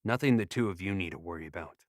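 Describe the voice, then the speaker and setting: A man's voice: low voice, very fast, no room sound, clean studio sound, close to an everyday voice. A man playing a stubborn, cagey younger elf brother in a fantasy audio drama, insistent and exasperated. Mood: dismissive.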